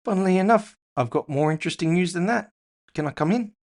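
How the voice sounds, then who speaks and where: Man putting on a hulking, friendly orc bruiser, pitched up. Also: lively intonation, fast, a little mellow. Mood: casual, amused. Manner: amiable, unperturbed. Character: hulking male cyborg orc boxer, friendly teddy bear.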